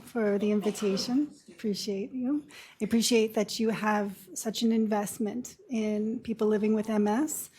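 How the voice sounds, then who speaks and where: A woman in her fifties with a low voice, giving a conversational seminar talk as a nurse practitioner with storytelling asides. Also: dark tone, husky. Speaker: guest speaker, nurse practitioner. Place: lecture room.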